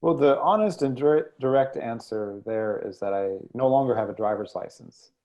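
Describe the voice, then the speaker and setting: A man answering as an interview guest in a thoughtful, halting, measured way. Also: mid-range voice, a little mellow. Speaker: guest, editor and poet. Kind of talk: reflective interview conversation.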